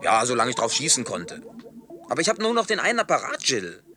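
An actor dubbing a man who tells a long story in a laid-back way with gruff humor. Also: medium pitch, wildly swooping pitch, fast talker, background noise. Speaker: lead character in a dubbed film. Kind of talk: dubbed film acting.

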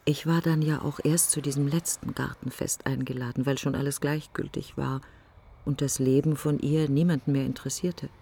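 An actress playing a bitter, grieving woman in a literary radio play monologue, speaking in clipped, intense fragments with a breathy husky voice. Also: rumbling low, steady tone, moderate pace, dark tone, heavily acted. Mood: bitter, hurt. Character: bitter, grieving woman reflecting on her dead best friend.

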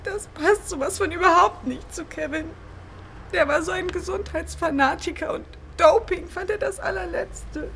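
A woman's voice: very high voice, moderate pace, creaky, fairly bright tone, slight background noise, exaggerated voice. An actress playing a shaken, grieving young woman in a naturalistic crime drama. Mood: subdued grief. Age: young adult.

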